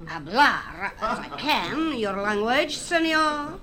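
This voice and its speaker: An actress playing a comical, wheedling, easily offended madam with a Spanish accent and halting broken English in a stage drama. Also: female voice, a little high, big pitch swings, a crowd in the background.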